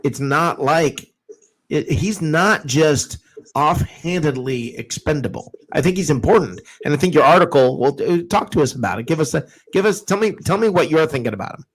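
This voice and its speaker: A man's voice: fairly high pitch, lively intonation, moderate pace, rough recording. Casual, emphatic male sports host. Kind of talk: casual live sports chat.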